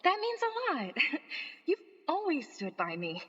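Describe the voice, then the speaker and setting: An actress playing a sweet, breezy young ingenue of the stage in a radio play. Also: female voice, very high voice, wildly swooping pitch, a little slow, exaggerated voice. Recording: reverberant hall, dull band-limited sound.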